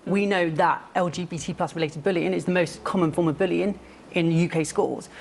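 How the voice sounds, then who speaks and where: Young male debate guest, light high voice, earnest and emphatic, faint background noise. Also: steady tone, fairly quick, vocal fry. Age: young adult. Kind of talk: studio debate argument.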